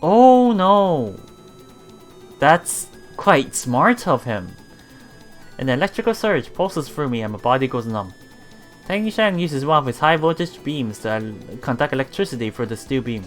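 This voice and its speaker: A streamer reading visual novel text aloud in a halting way, adding casual commentary over game music. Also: medium pitch, sing-song. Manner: halting reading, often repeating broken words. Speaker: let's player streamer.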